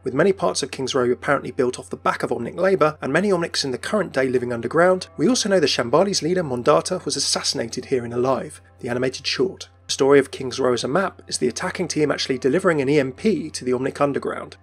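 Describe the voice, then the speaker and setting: A man's voice: mid-range voice, fast talker, hardly any pauses, breathy edge. A man presenting scripted narration as a video host, in an explanatory style. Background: background music.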